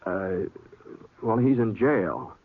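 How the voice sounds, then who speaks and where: Casting: low, husky American drifter in a radio western, gentle, bashful, halting drawl, on tinny vintage recording. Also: male voice, heavily acted. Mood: reluctant, concerned. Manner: halting, hesitant drawl with many stammers. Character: gentle, bashful drifting cowboy, plain-spoken. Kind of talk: 1950s radio western acting, folksy narration and dialogue.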